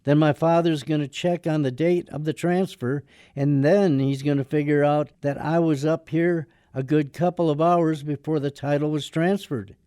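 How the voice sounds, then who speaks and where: A man's voice: a little high, steady tone, normal speed, hardly any pauses, mellow, clear, resonant tone, no room sound, studio quality, close to an everyday voice. A man playing a decent, wry and somewhat hesitant young man in a radio drama. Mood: gloomy, wry. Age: young adult. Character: decent, gloomy young man under his father's thumb. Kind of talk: radio drama acting and narration.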